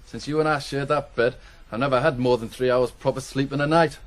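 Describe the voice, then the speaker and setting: An actor playing a restless, scornful young farm lad in a radio drama. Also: male voice, medium pitch, steady tone, fairly quick, lightly acted. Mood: fed up. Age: young adult. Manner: blunt, mocking. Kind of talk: naturalistic radio drama acting.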